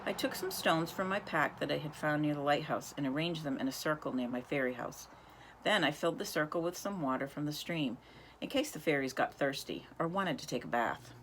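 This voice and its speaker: A woman's voice: sits low, fairly quick, a bit bright, a little hiss or hum, poor audio. A woman reading a picture book aloud expressively, now and then speaking to young listeners. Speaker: reader.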